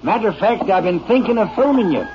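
An actor playing an apologetic, rambling rancher with deferential folksy talk in a 1950s radio western, on an old broadcast recording. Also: male voice, high-pitched, animated, quick pace, lo-fi sound, over music. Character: apologetic male Texas rancher with a small complaint.

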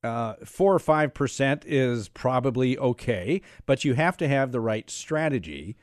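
A man's voice: mid-range voice, animated, unhurried, no room sound, clean studio sound. A man hosting a talk about money, setting up examples in a hesitant way with many restarts. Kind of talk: conversational interview.

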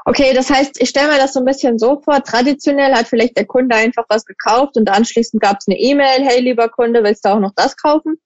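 A woman's voice: on the high side, fairly quick, hardly any pauses, darkish tone, no echo, lo-fi sound. A woman hosting a podcast interview, asking probing questions and summarizing the answers. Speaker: podcast host. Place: lives in Switzerland for almost ten years.

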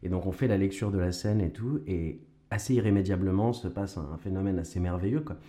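A man's voice: low voice, fast. A young man from Canada, an actor, telling his story casually with slang and frequent restarts. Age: young adult. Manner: relaxed, slangy, stumbling restarts. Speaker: actor and guest. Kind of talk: casual conversation.

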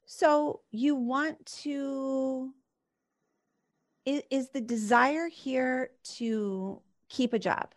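A woman's voice: sits high, steady tone, drawn-out words. A woman coach leading a live coaching session, reflecting the client's patterns back with probing questions and many "like" fillers.

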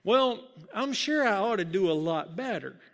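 A man's voice: sits high, animated, moderate pace, fairly bright tone. A man voicing a humble young boy ballplayer in a storybook, speaking in an earnest, polite, hesitant way.

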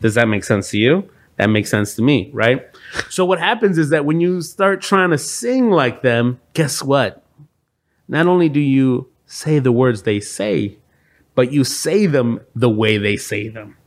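A man's voice: medium pitch, animated, a little slow. A man from Venezuela and Miami talking casually and comedically as a podcast co-host, repeating phrases for emphasis. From Venezuela, raised in Miami. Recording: music playing behind the voice. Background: guitar music.

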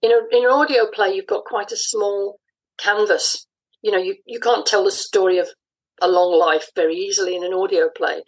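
A woman giving thoughtful, explanatory interview answers in a conversational style, often pausing mid-phrase. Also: mid-range voice, fairly even intonation, rough recording. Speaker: interview guest, audio drama writer.

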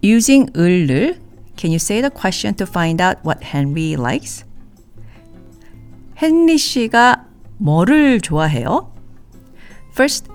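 Lively woman teaching a language lesson. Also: on the low side. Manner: clear, slow model phrases for repetition. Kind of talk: scripted podcast lesson.

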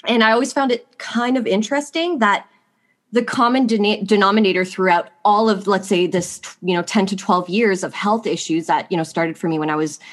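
A woman's voice: mid-range voice, fairly even intonation, brisk. A young adult woman, sniffly from allergies, guesting on a podcast and telling her personal story in a rambling way, full of likes and you-knows. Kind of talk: candid personal story in conversation.

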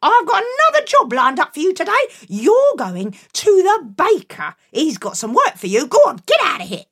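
A woman voicing an excitable, scolding mother in a children's story, shrill and exaggerated. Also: moderate pace, exaggerated voice. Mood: bossy.

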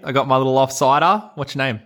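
An Australian man with a mid-pitched voice speaks as a casual podcast host and storybook reader. His manner is playful and encouraging, like a parent with a small child. Soft music plays behind him. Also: fast talker. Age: adult.

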